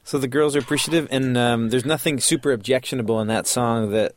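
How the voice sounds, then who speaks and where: A man's voice: medium pitch, quick pace, no room sound. A man co-hosting a casual chat, with a dry, wry and teasing way of talking. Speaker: podcast co-host.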